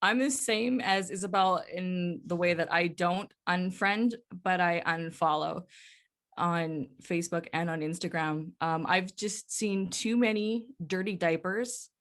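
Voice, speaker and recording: A woman's voice: on the low side, measured pace, fairly bright tone. Candid woman podcast co-host. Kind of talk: casual conversational panel chat.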